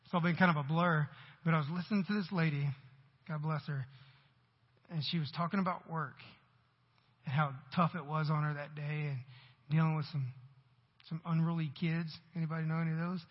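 Husky high-voiced preacher in his forties. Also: steady tone, brisk, long pauses. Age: 40s. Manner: conversational preaching with rising emphatic bursts. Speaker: pastor. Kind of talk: sermon. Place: church sanctuary. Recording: dull sound with long hall reverb.

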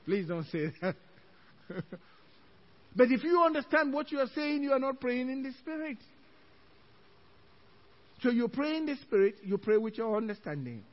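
A man's voice: very high-pitched, sing-song, normal speed, long pauses. A middle-aged Nigerian pastor with a Nigerian accent, preaching an evening sermon with emphatic scripture quotes and chuckling asides to his congregation. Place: church sanctuary. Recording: dull lo-fi church recording.

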